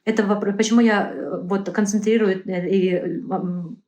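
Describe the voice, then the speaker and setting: A woman's voice: medium pitch, fairly even intonation, warm tone. Female interview guest, earnest, stop-start delivery, mid-range voice, echoey room sound. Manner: earnest, halting, with many self-corrections. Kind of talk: casual interview talk. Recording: roomy echo.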